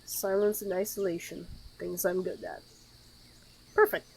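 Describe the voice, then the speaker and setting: A woman's voice: fairly low pitch, fairly even intonation, unhurried, darkish tone, a little hiss or hum, low-quality recording. Sarcastic woman game streamer, casual. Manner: sarcastic, reactive, talks back to the game. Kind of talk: casual let's play commentary.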